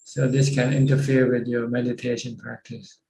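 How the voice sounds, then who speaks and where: Thai monk guy teaching over zoom, very even flat delivery, bit of room echo. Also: medium pitch, moderate pace, gravelly, a little hiss or hum. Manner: plain, patient explaining with repeated words. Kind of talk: Dhamma Q&A over a video call. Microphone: video call. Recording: echoey video call audio.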